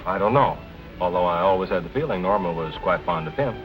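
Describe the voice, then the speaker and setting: An actor playing an earnest, defensive husband under suspicion, in a 1940s film noir style. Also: male voice, sits low, lively intonation, fast talker, muffled recording. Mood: guarded. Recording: old film soundtrack with music behind.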